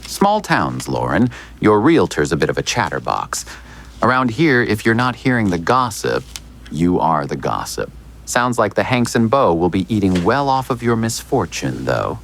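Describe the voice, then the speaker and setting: Gravelly, evasive small-town lawyer. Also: male voice, lowish voice, very animated, fairly bright tone, slight background noise. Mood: sly teasing. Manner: measured, theatrical, hinting. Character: smooth, evasive small-town male lawyer with insinuating politeness. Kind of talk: audio drama acting.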